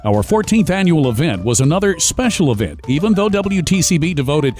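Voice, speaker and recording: Male radio announcer, higher-pitched and lively, reading scripted promo narration over music. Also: fairly quick. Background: music bed behind the voice.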